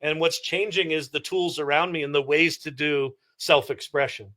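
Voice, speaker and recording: A man's voice: on the high side, steady tone, moderate pace, pushed and bright. A middle-aged man giving casual, reflective and explanatory answers as an interview guest. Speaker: interview guest, entrepreneur and former actor.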